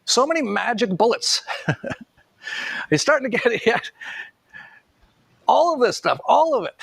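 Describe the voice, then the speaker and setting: A man with a noticeably high voice talks in a loose, casual commentary style. His delivery is gravelly with vocal fry and rambles along sardonically. The sound is very dry. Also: sing-song, a little slow, fairly bright tone, no room sound. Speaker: online commentator.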